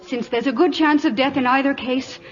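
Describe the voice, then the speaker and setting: A woman's voice: high-pitched, fairly even intonation, a little fast, close to an everyday voice. An imperious English lady of rank voiced by a woman in a vintage radio play. She talks in precise, dryly indignant phrases, and the old recording is dull and lo-fi. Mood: resolute. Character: haughty, self-possessed English noblewoman. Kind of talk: 1950s radio drama acting.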